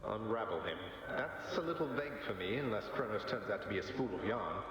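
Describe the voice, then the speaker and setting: A man's voice: lowish voice, lively intonation, brisk, a loud crowd, natural voice. A man playing a good-natured young underworld prince in a video game, with a wry, dry, casual manner. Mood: wry, thoughtful. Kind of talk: conversational video game acting. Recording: heavily echoing hall with music.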